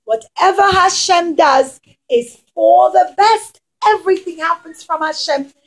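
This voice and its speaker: A woman storyteller playing a pious, accepting rabbi in a puppet show, reciting a devout saying in a measured way. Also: very high-pitched, gravelly, exaggerated voice, low-quality recording. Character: pious, accepting male rabbi trusting that all is for good.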